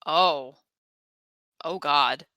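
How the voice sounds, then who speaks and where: A woman's voice: deep voice, extremely slow, pushed and bright, no echo. A woman chatting casually as a player in a tabletop roleplaying game.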